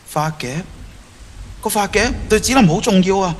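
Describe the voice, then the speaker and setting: A man's voice: sits high, lively intonation, normal speed, in a large hall, slight background noise. A man playing a lovesick, torn teenage schoolboy in an audio drama, with earnest, emotional delivery. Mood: urgent. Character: lovesick, torn teenage schoolboy who has travelled back in time. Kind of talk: Cantonese audio drama acting.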